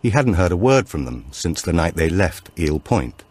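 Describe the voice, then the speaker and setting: Deep-voiced, expressive male audiobook narrator. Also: normal speed, no echo. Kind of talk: scripted narration of a suspense thriller.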